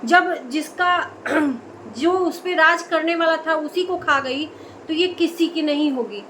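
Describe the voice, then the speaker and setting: A high-pitched woman rants in an indignant, scolding commentary, firing rhetorical questions at her viewers. Faint ambient noise sits under her voice. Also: takes it slowly, fairly bright tone. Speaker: video commentator. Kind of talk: impassioned commentary monologue.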